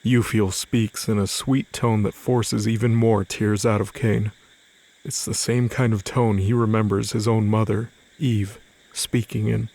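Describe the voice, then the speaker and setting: Low-pitched man narrating an audio drama. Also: level tone, measured pace, a little mellow, low-quality recording. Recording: faint music behind the voice.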